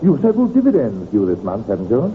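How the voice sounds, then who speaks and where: Slick conman husband, cajoling and bullying, hugely animated delivery, vintage radio play on narrow-band audio. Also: medium pitch, fast. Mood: breezy, evasive. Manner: glib, falsely breezy, cajoling. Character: smooth-talking male swindler, glib, bullying, master of house. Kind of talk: 1950s radio drama acting. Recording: tinny, noisy old radio recording.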